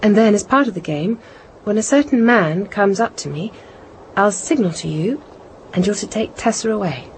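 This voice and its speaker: A woman's voice: medium pitch, expressive, normal speed, a little mellow, slight background noise, lightly acted, poor audio. An actress playing a composed British housewife and mother turned reluctant spy in a radio drama, speaking with control. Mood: patient, firm. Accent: British English.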